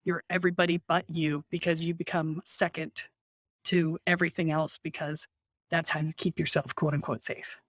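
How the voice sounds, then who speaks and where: Animated woman coaching with emphatic energy. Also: low-pitched, moderate pace, dry recording, studio quality. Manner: animated, emphatic, often adds "right?"; laughs easily. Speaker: spiritual coach guest. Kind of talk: podcast interview.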